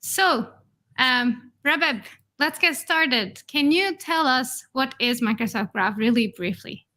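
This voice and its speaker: A woman's voice: sits high, lively intonation, slow pace, pushed and bright. A woman whose first language is Turkish, hosting a livestream in an upbeat way and laughing often. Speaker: host.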